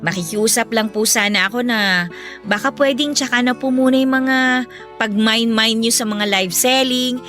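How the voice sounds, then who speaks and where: A woman's voice: on the high side, moderate pace, fairly bright tone, faint music behind, natural voice. An actress playing a dutiful, hurt young Filipino daughter who pleads respectfully with her mother in a radio drama. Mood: careful, apologetic. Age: young adult. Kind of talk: emotional radio drama acting.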